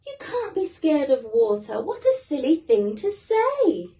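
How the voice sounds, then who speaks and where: A woman voicing a brisk, chiding father penguin in a storybook. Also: high voice, wildly swooping pitch, dark tone, recorded from a distance, heavily acted. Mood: chiding, puzzled. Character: brisk, dismissive father penguin, adult male.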